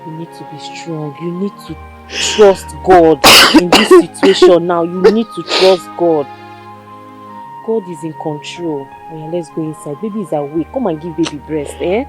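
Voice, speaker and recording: An actress playing a caring older sister, reassuring softly. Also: female voice, lowish voice, moderate pace, soft background music, lightly acted. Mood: soothing. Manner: soft soothing reassurance.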